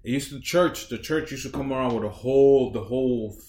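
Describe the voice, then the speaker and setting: Male podcast co-host, rambling casual talker, medium pitch, roomy recording. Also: a little fast, a little mellow. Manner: rambling, lots of "like" fillers. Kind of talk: casual chat.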